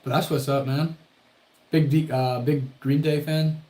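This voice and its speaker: A man's voice: on the high side, fairly even intonation, unhurried, a little mellow, echoey room. A young man from Ohio hosting a casual livestream, chatting with viewers in a laid-back, profane way, his voice a little strained. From Toledo, Ohio, United States. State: voice strained, worried about losing it. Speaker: livestream host. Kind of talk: casual livestream chat reading viewer comments.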